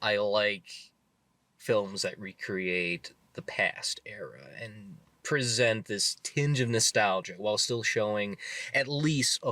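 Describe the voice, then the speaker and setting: A man's voice: fairly low pitch, sharp tone, no echo. A man co-hosting a casual movie review chat, rambling enthusiastically with plenty of fillers and restarts.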